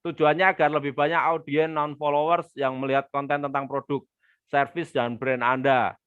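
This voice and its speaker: A man coaching small-business owners over a live stream, his voice a little high and smooth. He talks in a friendly, chatty way, calling viewers friends and answering their comments. The sound is dry and slightly muffled. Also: little pitch movement, moderate pace, no echo. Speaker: business trainer hosting a live webinar. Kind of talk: live-stream teaching with viewer shout-outs.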